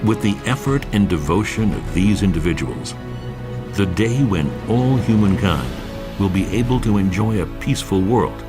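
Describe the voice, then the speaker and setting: A man's voice: lowish voice, expressive, moderate pace, over music. A man reading scripted narration for a documentary.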